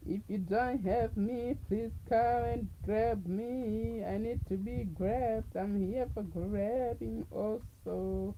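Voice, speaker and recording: A woman with a deep voice hosting a livestream, making slow, repetitive, coaxing appeals to her viewers. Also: fairly even intonation, mellow, a little hiss or hum, rough recording. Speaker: livestream host. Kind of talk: casual livestream chat to viewers.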